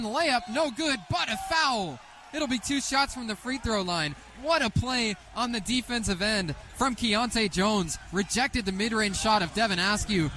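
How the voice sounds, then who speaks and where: A man in his twenties calling basketball play-by-play live on the radio in an excitable manner. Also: a little high. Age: 20s. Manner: excitable, rising sharply on big plays. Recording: live sports radio, faint background noise.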